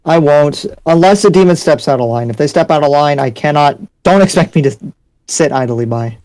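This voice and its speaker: A man playing a dutiful, resolute demon slayer in an anime roleplay, speaking in a measured, plain-spoken way. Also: on the high side, a little mellow, natural voice. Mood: determined. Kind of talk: improvised Minecraft anime roleplay. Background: music under the voice.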